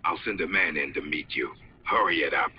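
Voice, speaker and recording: Extremely deep, cold commander. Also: male voice, a little fast, breathy edge, highs cut off, full character voice. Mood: cold, impatient. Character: cold, commanding military villain, adult man. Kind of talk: dramatic video game acting.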